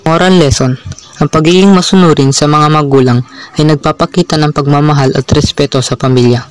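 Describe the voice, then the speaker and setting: A man's voice: fairly high pitch, steady tone, fairly quick. A man narrating a scripted moral story for a puppet show.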